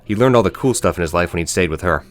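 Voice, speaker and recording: A man's voice: low voice, expressive, racing. A man narrating an audiobook story in a scripted storytelling style.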